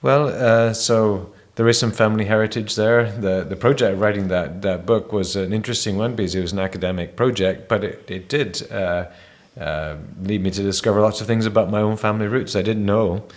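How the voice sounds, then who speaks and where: A man who grew up in Spain, a missionary guest sharing his testimony in a measured, hesitant way with many fillers. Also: on the low side, fairly even intonation. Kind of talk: reflective personal testimony.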